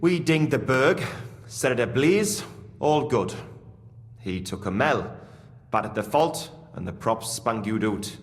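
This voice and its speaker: A man's voice: medium pitch, expressive, slow. An actor playing a plain-spoken, devout mine foreman with a Geordie accent and earthy dialect in a video game. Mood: grieving. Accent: Northern English, Geordie. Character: grieving working-class miner foreman, devout and plain-spoken. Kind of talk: video game dialogue acting. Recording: long cavernous reverb.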